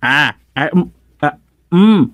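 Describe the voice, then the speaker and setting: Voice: mid-range voice, animated, takes it slowly, fairly bright tone, no room sound. A performer playing a playful, giggling village in-law in an Ilocano radio comedy. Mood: giggly. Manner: giggly, exclaims often. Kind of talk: Ilocano radio drama acting.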